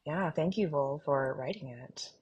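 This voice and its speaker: A woman co-hosting a fan podcast, talking casually in an expressive, theatrical manner. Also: low voice, a little slow, slightly rough, dull audio. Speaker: podcast co-host.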